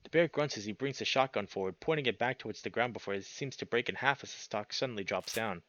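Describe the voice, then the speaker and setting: A man's voice: lowish voice, fast talker, a bit bright, no room sound, dull audio. A man reading a visual novel aloud as a streamer, mixing narration with casual, sweary commentary.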